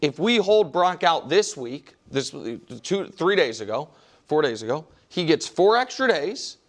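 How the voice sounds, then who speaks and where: Animated higher-voiced male sports pundit. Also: measured pace. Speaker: sports panelist. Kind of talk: unscripted sports debate.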